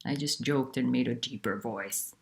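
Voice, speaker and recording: A woman teaching about nonduality, talking in a soft, unhurried and candid way with pauses. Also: rumbling low, creaky. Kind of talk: reflective spiritual talk.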